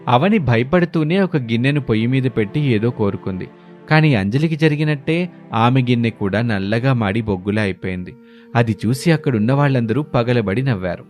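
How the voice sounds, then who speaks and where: A man's voice: medium pitch, expressive, brisk, a little mellow, faint music behind. A man narrating a scripted moral story as the storyteller.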